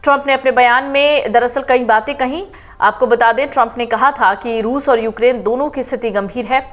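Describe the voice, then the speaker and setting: A woman reading a scripted news report in a steady, formal manner. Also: a little high, normal speed. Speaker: news reader.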